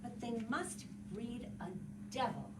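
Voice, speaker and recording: A woman reading as a wry, slow-musing funeral-goer. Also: a little high, lively intonation. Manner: musing, drawn-out, broken into short phrases. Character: musing, wry man at a funeral, morbidly curious. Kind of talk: dramatic literary reading. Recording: echoey, distant, very noisy room.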